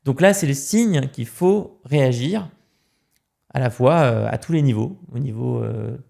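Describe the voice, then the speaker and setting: A man's voice: mid-range voice, animated, a little slow, creaky, a little mellow. A man from France, a podcast guest, talking emphatically in casual conversation and repeating words as he starts a point.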